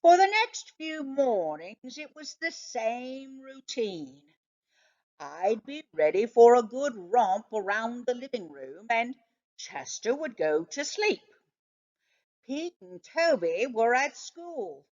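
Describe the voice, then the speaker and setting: Woman storyteller, expressive children's narration, unhurried, lively intonation, slightly muffled recording. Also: on the high side. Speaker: storybook reader.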